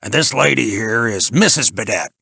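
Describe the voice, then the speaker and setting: A man voicing a gruff older American mountaineer in an audiobook, with a folksy drawl and flattened foreign names. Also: fairly low pitch, moderate pace, no room sound, studio quality, heavily acted. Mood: brisk, dutiful.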